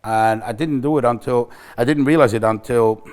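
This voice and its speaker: A man's voice: medium pitch, normal speed. A middle-aged man from Iceland with an Icelandic accent, speaking candidly and reflectively to the camera for a recovery center, often restarting his sentences. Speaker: recovery center staff member. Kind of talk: personal talk to camera.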